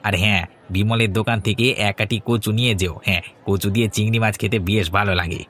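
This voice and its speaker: A man's voice: fairly low pitch, fairly even intonation, quick pace, fairly bright tone, natural voice. A man playing a brusque, pushy village fishmonger in a cartoon, familiar and persuasive as he sells. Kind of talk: Bengali cartoon voice acting.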